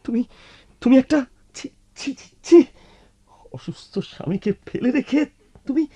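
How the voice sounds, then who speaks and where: An actor playing an overwrought, remorseful husband and father in a radio drama, halting and repeating his words. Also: very high voice, expressive, warm tone, breathy edge, exaggerated voice. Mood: indignant, agitated. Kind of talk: Bengali radio drama acting. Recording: dull lo-fi radio recording, faint music.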